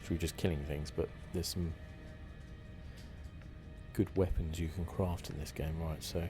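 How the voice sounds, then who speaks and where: A man hosts a gaming video in a relaxed ASMR style. His voice is low and soft-spoken, his phrasing informal, with music clearly playing behind him. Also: measured pace. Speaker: gaming channel host. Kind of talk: relaxed ASMR game commentary. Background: game music.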